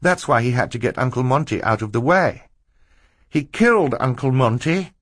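A man reads as a determined young girl in a storybook, exasperated and emphatic. The pitch is raised and swings about in a lively way, and the recording is dry and close. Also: moderate pace, no echo. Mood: urgent, insistent.

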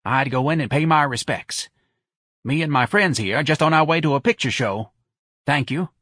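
A man acts out a blunt, nosy New Orleans woman with a raised pitch. She is bossy, excitable and folksy, given to exclamations. The audiobook character is recorded close and dry. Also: normal speed, breathy edge, dry recording. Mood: chatty.

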